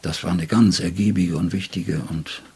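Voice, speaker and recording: A man's voice: low voice, steady tone, moderate pace, a little mellow, slightly rough. An older man, a poet being interviewed, speaking in a reflective way. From Germany. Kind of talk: reflective interview talk and poetry reading.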